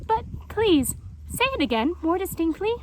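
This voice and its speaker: A woman's voice: extremely high pitch, very animated, moderate pace, darkish tone, a little hiss or hum, pitched above the performer's own voice. A woman voicing an earnest, easily puzzled young jaguar in a children's storybook, with an emphatic, childlike, petulant manner. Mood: puzzled, pleading.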